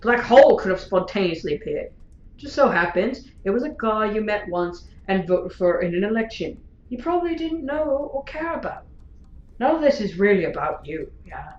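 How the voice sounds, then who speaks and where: A woman's voice: medium pitch, big pitch swings, moderate pace, natural voice. A woman playing a blunt, flippant, fatalistic companion in an audio drama, talking casually with frequent tag questions. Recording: roomy, dull sound with faint music.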